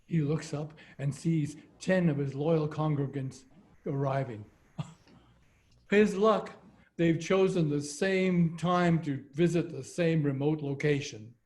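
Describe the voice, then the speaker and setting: A man's voice: a little high, takes it slowly, a little mellow. A man performing a reading of jokes and short comic stories over a video call. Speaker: readers theater performer.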